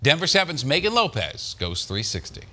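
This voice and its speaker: A man's voice: a little high, huge pitch swings, moderate pace, very noisy. An American man presenting scripted television news as an anchor.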